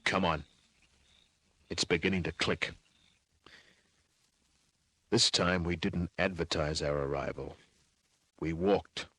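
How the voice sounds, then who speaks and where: Hardboiled male private eye, Australian, deep and husky, terse wisecracking narration for vintage radio crime serial. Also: slow pace, pauses often, a bit bright, no room sound, exaggerated voice. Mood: terse, wary. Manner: terse, clipped, slangy delivery. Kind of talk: old-time radio crime drama, first-person narration.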